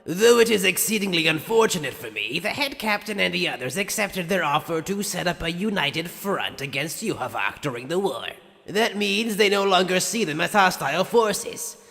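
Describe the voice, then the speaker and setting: A man's voice: high-pitched, expressive, moderate pace, vocal fry, bright, forceful tone, exaggerated voice. A man voicing an arrogant, sadistic mad scientist in an anime fan dub, theatrical and condescending with mock politeness, recorded in long reverb. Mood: smug lecturing.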